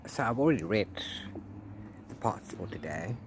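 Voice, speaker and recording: A man talking casually as a book vlogger, rambling in a halting way with repeated words and restarts. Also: fairly low pitch, lively intonation. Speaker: book vlogger, read-along co-host. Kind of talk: casual rambling video diary about a book. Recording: clear background noise, ambient sound.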